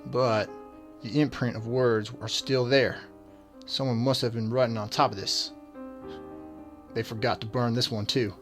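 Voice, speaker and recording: An actor playing a dogged, wry detective in a radio drama, deliberate and dryly authoritative. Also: male voice, medium pitch, steady tone, soft background music, lightly acted. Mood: pensive. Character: dogged, wry male detective, firm but polite.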